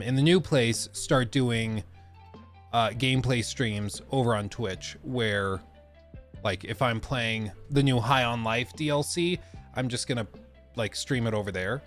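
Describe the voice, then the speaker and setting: A young adult man with a stuffed-up nose, hosting a casual live stream and rambling with lots of fillers. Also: medium pitch. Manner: rambling, chatty, many fillers.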